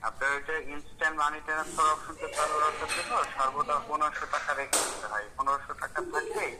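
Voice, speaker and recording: A man's voice: fairly high pitch, steady tone, quick pace, very noisy. A man making an unscripted phone call as a caller posing as bank staff, giving instructions in an insistent, officious manner.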